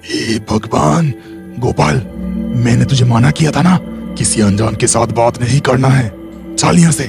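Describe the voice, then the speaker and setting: Casting: male actor as a protective, stern village uncle in a cartoon, middle-pitched, music behind him. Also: measured pace, vocal fry. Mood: alarmed scolding. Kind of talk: animated horror story acting.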